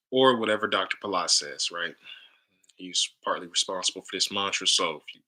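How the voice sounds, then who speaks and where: A man with a deep baritone voice leading a live stream, talking casually and tagging nearly every sentence with "right?". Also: unhurried, long pauses, pushed and bright, poor audio. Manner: loose, repeats "right?" often. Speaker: live stream mantra leader.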